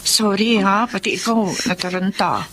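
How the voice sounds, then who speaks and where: A woman's voice: mid-range voice, steady tone, moderate pace, fairly bright tone, slight background noise, lightly acted. An actress with a Filipino accent playing a kindly, earnest young mother in a radio drama. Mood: relieved, apologetic. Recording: music bed behind the voice.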